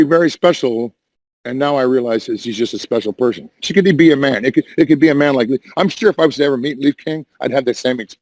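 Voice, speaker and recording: A man with a hoarse, worn voice talks on and on, restarting his sentences and defending himself. His pitch sits in the middle, and the sound is dry and close to the mic. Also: a little mellow, no echo, poor audio. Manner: rambling, defensive, repeats and restarts. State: hoarse, says voice is damaged. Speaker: Discord voice chat caller. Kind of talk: rambling casual chat.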